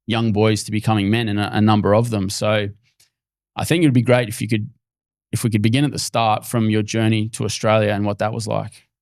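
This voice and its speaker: A man's voice: fairly low pitch, flat delivery, brisk, a little mellow, no room sound, clean studio sound. Man hosting an interview, attentive. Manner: attentive, encouraging, with frequent short acknowledgements. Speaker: podcast host.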